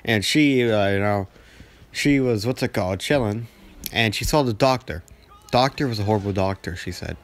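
A man's voice: on the low side, normal speed. A young man telling a story casually over gameplay, rambling with heavy slang and acting out dialogue as he goes. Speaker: gaming video storyteller.

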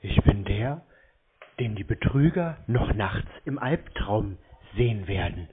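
Terse deadpan man with swooping pitch. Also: slow pace, slight background noise. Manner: terse, evasive, deadpan taunting. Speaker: scam baiter answering calls. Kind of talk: casual phone conversation. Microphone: phone call. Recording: dull lo-fi phone call recording.

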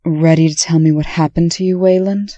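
A woman's voice: fairly low pitch, steady tone, a little fast, mellow, no room sound, natural voice. A woman playing a weary, indignant prisoner in a comic dub, serious and demanding. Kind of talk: amateur comic dub acting.

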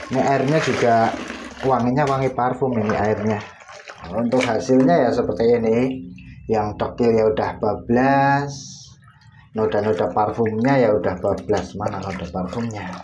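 A man's voice: fairly low pitch, fairly even intonation, moderate pace, a little mellow, slight background noise, poor audio. A man, casually explaining step by step while working at home. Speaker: laundry tutorial host. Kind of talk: casual how-to demonstration. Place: home laundry area, indoors.

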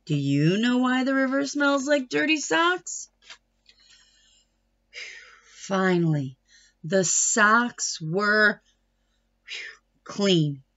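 A woman's voice: medium pitch, animated, drawn-out words, long pauses, fairly bright tone, highs cut off. A middle-aged woman reading a children's picture book aloud expressively, stretching words for emphasis, on a home webcam. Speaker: read-aloud storyteller. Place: small wood-panelled room at home.

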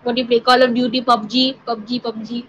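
A young woman with an Indian English accent chatting casually on a video call, soft-spoken, dry and amused. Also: a little high, level tone, fast talker, rough recording.

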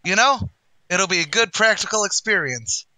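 A man's voice: high voice, animated, moderate pace, sharp tone, no echo, exaggerated voice, rough recording. A man playing a boastful, uneducated tavern manager in a radio comedy, with folksy, ungrammatical wise-guy patter. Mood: scheming. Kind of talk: old-time radio comedy acting.

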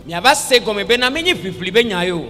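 A man interprets a sermon phrase by phrase from a stage. His voice is very high and forceful, with a long hall reverb around it. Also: animated, a little fast. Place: large convention hall, on stage. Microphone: handheld microphone.

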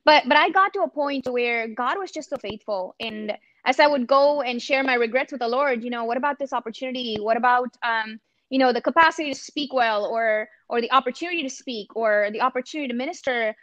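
Earnest woman with an Indian accent, high and clear, on a slightly dull video call line. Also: fairly quick, a bit bright, dry recording. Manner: earnest, thoughtful, frequent restarts. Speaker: campus ministry missionary. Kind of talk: reflective panel talk. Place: home room. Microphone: laptop webcam on a video call.

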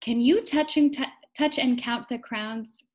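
A young woman reading aloud to small children in an encouraging, sing-song teacher's manner, guiding them along deliberately. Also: fairly high pitch. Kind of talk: interactive children's read-aloud lesson.

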